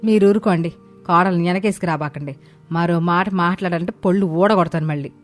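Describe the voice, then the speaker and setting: A woman playing a scolding, scheming older mother-in-law in a dramatised family story, with a sharp, accusing manner. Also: fairly low pitch, fairly quick, soft background music, lightly acted. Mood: angry nagging. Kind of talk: dramatised moral story acting.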